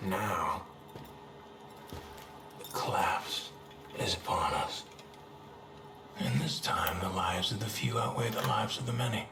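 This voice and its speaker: An actor playing a cold, fanatical militant leader in a video game, speaking in a measured, solemn way. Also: male voice, low-pitched, roomy sound. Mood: cold menace. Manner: measured, solemn, deliberate delivery. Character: menacing middle-aged male militant leader, cold and fanatical. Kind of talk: video game cutscene monologue.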